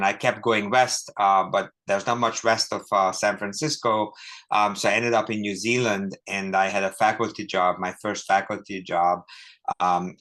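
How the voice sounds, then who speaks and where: A middle-aged man from Hungary, an author and professor giving a relaxed, chatty talk with dry humor and many ums over a video call. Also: lowish voice. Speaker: author and professor, guest speaker. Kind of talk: informal talk to an online audience.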